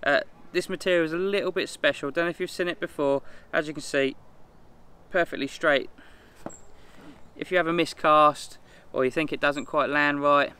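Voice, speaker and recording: A man's voice: a little high, steady tone, normal speed, bright, forceful tone, smooth. A man in his thirties outdoors, giving a friendly, easygoing run-through of his fishing rig. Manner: friendly, easygoing, with ums and uhs. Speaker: angler, team member demonstrating a rig. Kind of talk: casual product demonstration. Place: outdoors by a hedge.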